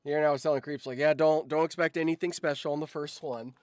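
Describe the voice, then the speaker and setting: A man's voice: fairly high pitch, steady tone, fairly quick, darkish tone, no echo, soft background music. A young man hosting a casual livestream, talking in a rambling way that often trails off, close to the mic. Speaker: streamer and host.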